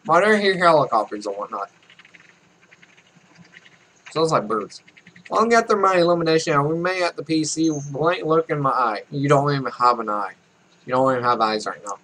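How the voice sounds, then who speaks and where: Higher-pitched young man, offhand gamer commentary. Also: pushed and bright. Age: 20s. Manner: rambling, offhand asides. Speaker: let's play host. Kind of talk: casual gameplay commentary mixed with reading game text aloud. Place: small home room, indoors. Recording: noisy home recording.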